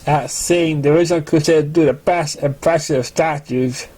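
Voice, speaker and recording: A person reading fan fiction aloud in a halting, stumbling amateur delivery. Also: mid-range voice, fairly even intonation, warm tone.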